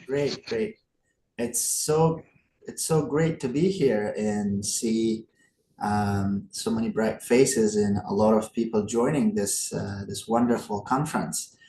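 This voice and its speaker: A man's voice: fairly low pitch, fairly even intonation, slow pace, mellow, echoey room, slight background noise, rough recording. A young man from Afghanistan giving a conference talk over a video call, hesitant, with many fillers. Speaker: guest speaker.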